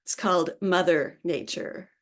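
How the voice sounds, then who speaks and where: Slow, measured woman reading poems. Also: fairly low pitch, steady tone. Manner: slow, measured poetry delivery with hesitant asides. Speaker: poet reading her work. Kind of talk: live poetry reading.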